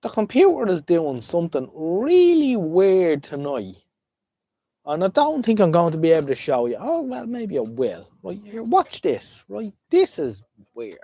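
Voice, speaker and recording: An Irish man hosting a casual live stream, a bit sniffly from a head cold. His pitch sits somewhat high and swings about a lot as he rambles on to his viewers. The sound is close and dry, with no room echo. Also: huge pitch swings, unhurried, dark tone, lo-fi sound. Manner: chatty, rambling, talks to viewers. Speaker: livestream host. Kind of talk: casual livestream chat. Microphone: desk microphone.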